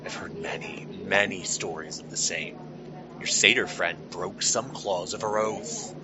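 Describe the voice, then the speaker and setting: A man playing a weary, haunted fae lord in a fantasy audio drama, speaking formally and mournfully with halting, measured phrasing. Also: low-pitched, background noise. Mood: solemn regret.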